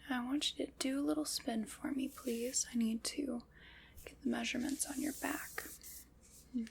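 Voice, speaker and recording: Woman whispering as a calm skeleton armorer. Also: high voice, normal speed, gravelly, a little hiss or hum. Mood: gentle instructive. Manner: soft-spoken, polite step-by-step instructions. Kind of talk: whispered ASMR roleplay.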